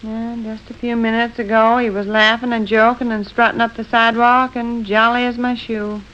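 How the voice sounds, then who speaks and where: A woman's voice: a little high, little pitch movement, moderate pace, fairly bright tone, lightly acted. An actress with an American accent playing a dry, unruffled middle-aged mother in a 1940s radio comedy. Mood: mildly sympathetic. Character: dry, teasing small-town housewife and mother. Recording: muffled old radio recording.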